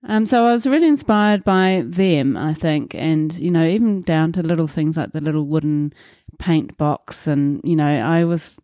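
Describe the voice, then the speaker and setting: A woman's voice: sits low, moderate pace, hardly any pauses, warm tone, no room sound, studio quality. A New Zealand woman in her forties, a podcast host talking casually and reflectively about her life, with many fillers. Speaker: podcast host being interviewed. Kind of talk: casual conversational interview.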